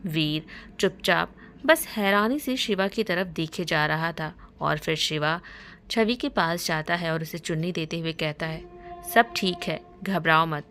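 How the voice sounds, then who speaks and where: A woman's voice: low-pitched, measured pace, a bit bright, slight background noise. A woman reading a scripted romance story aloud as its narrator.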